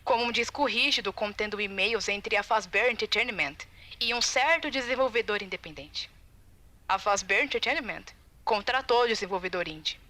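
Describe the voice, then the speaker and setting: Video game audio log: woman playing anxious, determined female tester, Brazilian, bright tense tone, confiding and urgent delivery. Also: a little high. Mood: indignant. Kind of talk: recorded audio-log monologue, video game fan dub.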